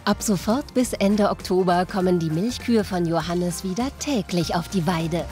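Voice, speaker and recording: A woman giving calm scripted documentary narration. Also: on the low side, normal speed, faint music behind.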